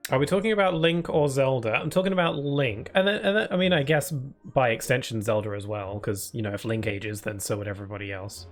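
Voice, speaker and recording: A man chats casually through a live game stream, rambling with frequent fillers and self-corrections. His voice sits in an ordinary male pitch and carries what he calls a permanent cold voice. Faint game music plays quietly behind him. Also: a little fast, few pauses. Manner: rambling, loose with many fillers and restarts; answers viewer chat aloud. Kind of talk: casual live-stream chat while playing.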